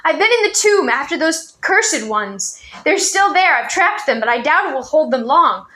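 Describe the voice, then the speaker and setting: A woman's voice: sits high, wildly swooping pitch, moderate pace, gravelly, bright, forceful tone, heavily acted. Woman voicing a frantic, urgent young boy. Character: brave, frantic boy on the run.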